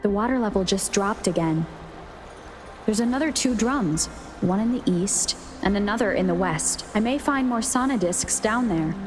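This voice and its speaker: A woman voicing a calm, analytical young adventurer in a video game, musing to herself in a measured, matter-of-fact way. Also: mid-range voice, soft background music, lightly acted. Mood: pondering, composed. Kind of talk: video game voice acting, inner monologue.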